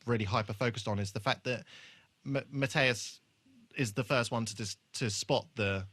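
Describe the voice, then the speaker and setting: A man's voice: medium pitch, normal speed, fairly bright tone, no room sound. A man co-hosting a podcast, chatting casually through an episode review in a hesitant way, often trailing off and correcting himself. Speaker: podcast co-host.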